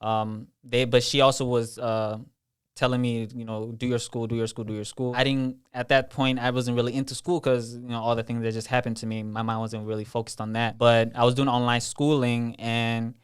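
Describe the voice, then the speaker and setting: A man's voice: medium pitch, level tone, moderate pace, clean tone. A man in his twenties from Kenya, telling his life story as an interview guest in a plain, matter-of-fact way. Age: 20s.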